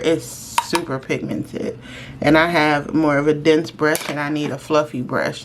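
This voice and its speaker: Low-voiced congested woman, chatty. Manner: chatty, friendly, slows down while applying makeup. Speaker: beauty vlogger. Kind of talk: casual makeup review.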